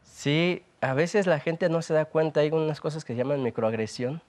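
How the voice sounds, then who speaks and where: A young man with a Mexican accent, speaking as a guest in a TV interview, earnest and reflective, often pausing and restarting his sentences. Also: on the high side, a little mellow. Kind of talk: conversational TV interview.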